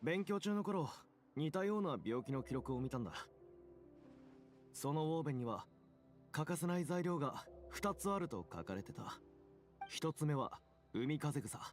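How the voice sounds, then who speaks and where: A man's voice: on the high side, expressive, fast talker, long pauses, close to an everyday voice. A male actor voicing an earnest, explanatory character in a video game. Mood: earnest, explaining. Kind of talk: Japanese video game voice acting. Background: game music.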